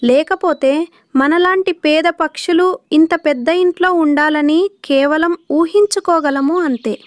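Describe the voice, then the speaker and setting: A woman playing an outspoken young mother pigeon, earnest and expressive, in a children's cartoon. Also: sits high, a little slow, no room sound. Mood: delighted.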